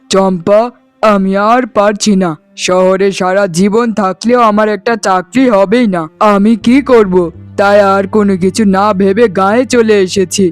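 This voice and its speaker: Casting: man as a jobless young village husband, humble and plaintive, very high voice, dry cartoon recording. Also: no room sound. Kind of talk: Bengali moral-story cartoon voice acting.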